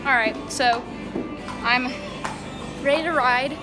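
A woman's voice: high-pitched, takes it slowly, loud music behind the voice, poor audio. A young woman talking casually into a phone camera, rambling with lots of filler words. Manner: chatty, rambling with many "like" and "um" fillers. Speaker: vlogger. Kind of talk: casual vlog chat. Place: outdoors and in a horse barn. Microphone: phone camera held at arm's length.

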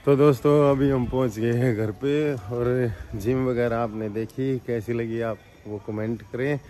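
A man's voice: medium pitch, moderate pace, over music. A man in his thirties, a vlogger, casually narrating and pointing things out as he goes, filming himself outdoors at arm's length. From Haryana, India. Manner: relaxed tour-guide talk, pointing out sights. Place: outdoors on a street. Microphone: camera held at arm's length.

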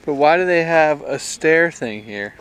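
A man's voice: a little high, animated, unhurried, a bit bright, slight background noise. A young man casually chatting to camera as a travel vlog host, laid-back and enthusiastic with informal slang.

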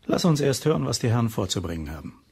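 An actor playing a gruff, hot-tempered lighthouse keeper with a gravelly, husky voice in a German crime radio play. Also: male voice, medium pitch, sing-song, quick pace, darkish tone, exaggerated voice. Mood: indignant.

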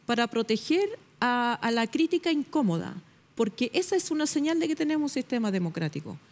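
A woman's voice: a little high, very animated, a little fast, a little mellow. Emphatic woman debating on a studio panel. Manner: emphatic, searching for words with many fillers. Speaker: journalist panelist. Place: television studio. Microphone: lapel mic.

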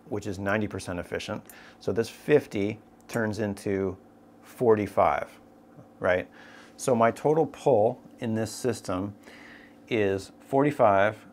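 A man's voice: on the low side, darkish tone. Man explaining a rope rig step by step. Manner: explanatory, step-by-step, frequent "right?" checks. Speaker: climbing instructor. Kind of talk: tabletop demonstration lesson.

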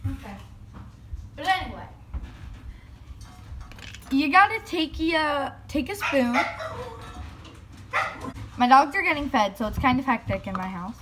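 A woman's voice: high-pitched, animated, measured pace, pauses often, fairly bright tone, a little hiss or hum. Chatty self-mocking young woman vlogger. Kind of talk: casual vlog narration. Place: home kitchen.

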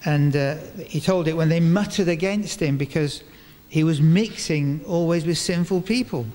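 An older man giving a sermon in a large church, speaking into a stand microphone in measured phrases with deliberate pauses. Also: on the high side, darkish tone. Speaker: preacher. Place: large stone church, indoors, before a congregation. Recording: reverberant church hall, clear background noise.

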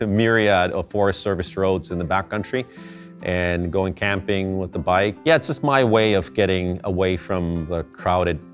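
A middle-aged man from Finland, answering interview questions thoughtfully, with halting restarts. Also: low voice. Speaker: interview guest. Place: indoor gallery space.

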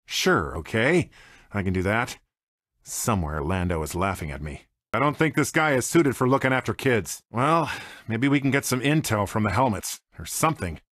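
An actor playing a cocky, grumbling smuggler pilot in a video game, with an American accent and short sardonic quips. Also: male voice, lowish voice, big pitch swings, moderate pace, fairly bright tone, no room sound, studio quality. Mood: resigned, determined. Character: cocky, wry male smuggler pilot, grumbling reluctant hero. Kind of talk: video game character voice lines.

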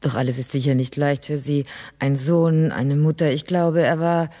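An actress playing a frank, dryly compassionate village spinster in a radio drama. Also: female voice, low-pitched, steady tone, normal speed, dark tone. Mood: sympathetic.

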